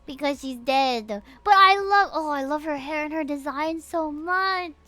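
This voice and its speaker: A woman with a Filipino accent doing casual livestream game commentary in a gushing, excitable manner. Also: sits high, measured pace, no room sound. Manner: gushing, excitable, reads game dialogue aloud. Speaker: VTuber livestreamer. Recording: game music behind the voice. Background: game music.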